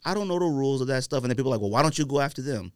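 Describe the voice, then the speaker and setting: A man's voice: medium pitch, very fast, clear, resonant tone, dry recording, clean studio sound. An American man hosting a podcast, talking casually in slang and acting out imagined quotes as he tells it. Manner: animated, slangy storytelling with acted-out imagined quotes. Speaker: podcast host.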